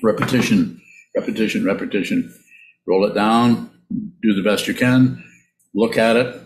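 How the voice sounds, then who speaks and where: An older man speaks in a low voice, as a Buddhist teacher would in an informal talk. He is reflective and halting, often repeating a word as he goes. The sound is a roomy, echoey video call. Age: older, around 70s. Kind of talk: informal dharma talk and answering questions. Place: small indoor room, on a video call.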